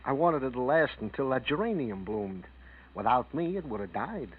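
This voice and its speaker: A man's voice: medium pitch, sing-song, normal speed, phone-quality sound. An actor playing an easygoing, wistful policeman with a folksy manner in a 1940s radio drama. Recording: thin, husky-sounding old radio recording.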